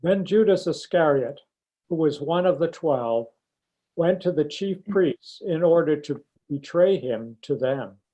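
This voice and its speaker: A man's voice: a little high, lively intonation, unhurried, mellow. A man reading a scripture narrative aloud from a text, as a church member, on a slightly dull recording.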